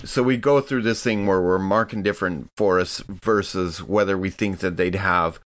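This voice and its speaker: A man's voice: low voice, moderate pace, a bit bright. A man casually narrating his character's actions in a tabletop game, in a loose, rambling way.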